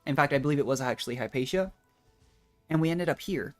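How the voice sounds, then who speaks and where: A woman's voice: deep voice, fast, soft background music. A woman doing casual livestream gameplay commentary, musing and thinking aloud as she goes. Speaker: game streamer.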